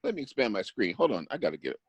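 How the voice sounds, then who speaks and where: A man's voice: on the low side, very animated, fast, slightly rough, no room sound, highs cut off, heavily acted. A man reading the part of an easygoing, amused boyfriend on video chat in a screenplay table read. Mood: amused, eager.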